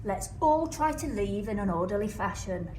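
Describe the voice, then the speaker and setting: A woman's voice: medium pitch, animated, moderate pace, a little mellow, lightly acted. A woman voicing a sensible boxer dog who urgently takes charge in a storybook. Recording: roomy, noisy, distant microphone.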